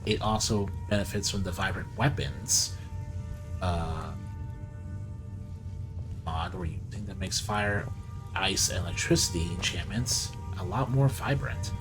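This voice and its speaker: A man's voice: on the low side, poor audio. A man giving casual gameplay commentary as the host of a gaming video. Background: game music.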